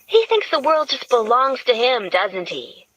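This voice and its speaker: A woman's voice: fairly high pitch, big pitch swings, measured pace, fairly bright tone, pitched above the performer's own voice, exaggerated voice, rough recording. A woman voicing a brash, sardonic young woman with a cheerfully acerbic way of griping.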